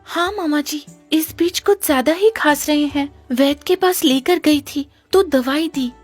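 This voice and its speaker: A woman voicing Rani, a brave shepherd girl with a very high voice. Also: unhurried, faint music behind. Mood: firm. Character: young village shepherd girl, brave and gentle. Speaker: voice actor. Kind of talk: cartoon story dubbing.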